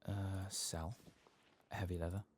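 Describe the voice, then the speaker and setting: A soft-spoken man giving unhurried ASMR gameplay commentary, murmuring to himself and sometimes dropping toward a whisper, close to the microphone. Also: low voice, flat delivery, slight background noise. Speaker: gaming streamer.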